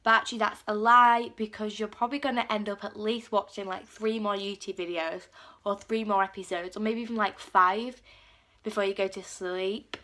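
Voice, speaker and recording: A woman's voice: medium pitch, steady tone, normal speed, bright, forceful tone. A teenage girl with a cold, chatting casually to camera and filling her talk with "like". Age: teens. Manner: chatty, lots of "like" fillers, acts out quotes. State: has a cold. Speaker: vlogger.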